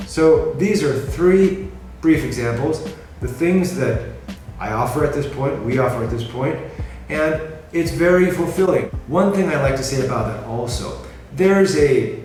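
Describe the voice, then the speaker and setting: A middle-aged American man from California, talking casually to the camera in a measured way and often rephrasing himself. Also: on the high side, animated, darkish tone. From California, USA. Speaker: freelancer presenting himself. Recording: very echoey room, mic at a distance, music behind.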